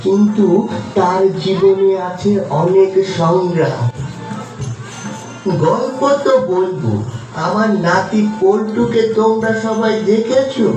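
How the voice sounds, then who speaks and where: A performer voicing a kindly old grandfather puppet, telling a story to children in a patient, gentle, explaining way. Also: medium pitch, takes it slowly, close to an everyday voice. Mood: welcoming. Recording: very echoey, distant, faint music behind.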